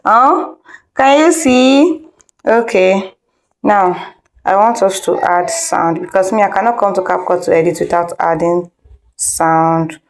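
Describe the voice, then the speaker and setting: A woman's voice: mid-range voice, unhurried. A woman instructor giving an informal step-by-step tutorial, repeating instructions and checking in with listeners. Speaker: video editing instructor.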